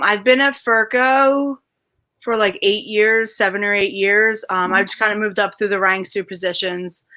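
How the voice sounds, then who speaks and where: A woman's voice: medium pitch, sharp tone. A woman who works as a recreation leader, giving casual answers as an interview guest.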